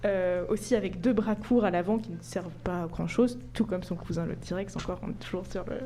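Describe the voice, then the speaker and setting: Young female columnist, mellow timbre, chatty and self-mocking delivery. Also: fairly low pitch, brisk, a little hiss or hum. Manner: chatty, laughs easily, self-deprecating asides. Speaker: podcast columnist. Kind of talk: presenting a science segment.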